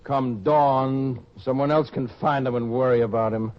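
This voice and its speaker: An actor playing a sly, freeloading gambler, a smug false friend who talks in a condescending, coaxing way in old-time radio drama. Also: male voice, medium pitch, fairly even intonation, normal speed, natural voice. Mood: condescending, mocking. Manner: smooth-talking, condescending, mocking. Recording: dull lo-fi radio-style recording.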